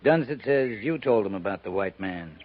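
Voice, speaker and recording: Tinny radio with background noise, actor as American cavalry captain, dry, clipped, probing, western drama. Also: male voice, lowish voice, lively intonation, moderate pace, close to an everyday voice. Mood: firm, questioning. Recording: noisy, tinny 1950s radio recording.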